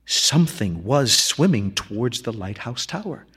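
A man reading a literary short story aloud in a measured, dramatic storytelling manner, with deliberate pauses. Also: medium pitch, very animated, a bit bright, breathy edge. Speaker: story reader.

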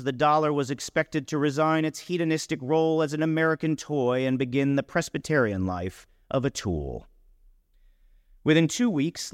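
A man reading a first-person literary short story aloud, with deliberate, dramatic phrasing. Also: medium pitch, no room sound, studio quality, big-room sound. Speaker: story reader.

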